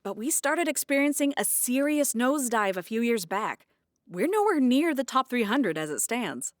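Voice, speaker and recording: Frank, practical radio bookkeeper, dry sound. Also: female voice, on the high side, very animated, moderate pace, no room sound. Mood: frank, explaining. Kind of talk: naturalistic audio drama dialogue.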